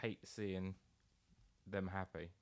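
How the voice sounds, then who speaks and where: A man's voice: low-pitched, fairly even intonation, slow pace. A British man co-hosting a podcast, tossing in casual, jokey, sweary reactions. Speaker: podcast co-host. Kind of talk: casual banter, short reactions.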